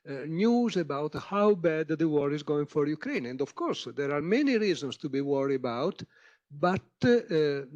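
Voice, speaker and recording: A man moderating a conference panel, pausing often with filler sounds as he introduces the next speaker. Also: on the high side, darkish tone, highs cut off. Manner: halting, many filler sounds.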